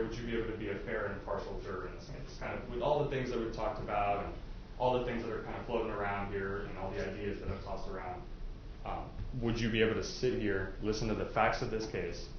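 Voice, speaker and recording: A man with a fairly low voice questions potential jurors in a conversational, explanatory style. The room echoes heavily, and the recording is muffled with faint noise behind it. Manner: informal, explanatory, hedging with casual asides. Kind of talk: courtroom jury questioning, conversational. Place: indoor courtroom.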